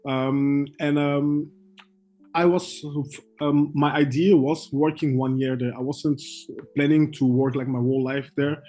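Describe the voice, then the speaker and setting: A young man from Belgium, a guest trader, telling his story in halting English full of fillers and restarts. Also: medium pitch, fairly even intonation, dark tone, faint music behind. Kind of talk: casual interview chat.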